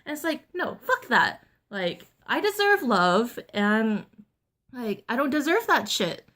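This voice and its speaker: A young woman vlogger with a deeper voice than most women, telling a personal story in a candid, blunt, chatty way with lots of fillers. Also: big pitch swings, slow pace, fairly bright tone. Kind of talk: casual storytime vlog.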